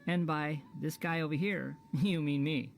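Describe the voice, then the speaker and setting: A man's voice: a little high, steady tone, unhurried, a little hiss or hum. Slyly polite young vagrant man. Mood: amused. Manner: smooth, polite, teasing. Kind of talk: video game character acting.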